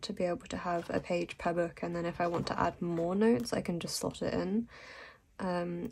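A young woman who is sick and losing her voice, talking softly in a rambling casual voice-over about her journal. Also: on the low side. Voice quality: hoarse. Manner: soft, unhurried and rambling with little laughs. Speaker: vlogger.

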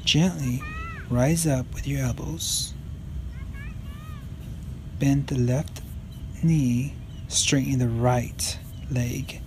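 Slow, calm male yoga instructor. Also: medium pitch, background noise. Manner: slow, calm step-by-step cues.

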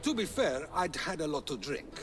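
An actor playing a dim, boastful king of the gods in a comedic video game, full of excitable bluster. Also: on the high side, moderate pace, over music. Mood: defensive.

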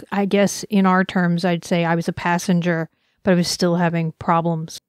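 A woman's voice: fairly low pitch, no room sound, studio quality. A woman giving a personal, earnest conference talk, speaking with passion and reflection. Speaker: conference presenter.

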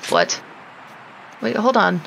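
Woman streamer talking to her sims, slow and lively, a bit wry, faint hiss behind. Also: medium pitch, vocal fry, highs cut off. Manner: wry, chatty, talks to her characters. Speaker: gaming streamer. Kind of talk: casual gameplay commentary.

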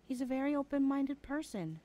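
A woman's voice: high-pitched, animated, a little fast, warm tone. A woman playing a defiant, protective younger sister in an ASMR roleplay, argumentative in manner. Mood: exasperated, consoling.

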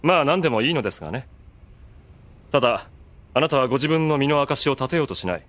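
A man's voice: mid-range voice, lively intonation, quick pace, a bit bright, no echo, natural voice. An actor playing a calculating, unruffled man with polite, cutting speech in a Japanese anime audio drama. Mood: cool, sardonic.

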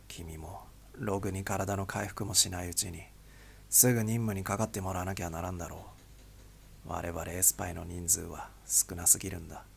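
A man voicing a grim, weary spy boss who speaks tersely and heavily in a gravelly, husky voice. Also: sits low, steady tone, normal speed, a little hiss or hum, pitched below the performer's own voice. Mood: somber. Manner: heavy, somber, terse.

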